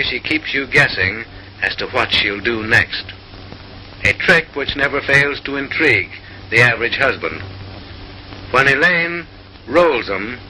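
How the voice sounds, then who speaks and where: A man's voice: medium pitch, pushed and bright, a little hiss or hum, poor audio. A man narrating in a mid-century comic newsreel style, with an arch, mock-refined, theatrical delivery, on a vintage film soundtrack.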